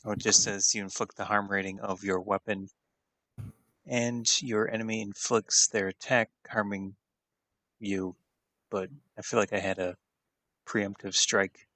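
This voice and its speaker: Low-voiced man playing a tabletop game. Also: level tone, a little slow, pauses often, sharp tone, no room sound. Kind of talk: casual game-table chat.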